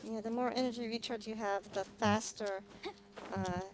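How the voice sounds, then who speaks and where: A woman's voice: a little high, fairly even intonation, moderate pace, background noise. A woman streaming a game with casual commentary, thinking aloud in a rambling, hesitant way. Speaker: streamer, vtuber host. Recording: game music playing behind the voice. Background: video game music.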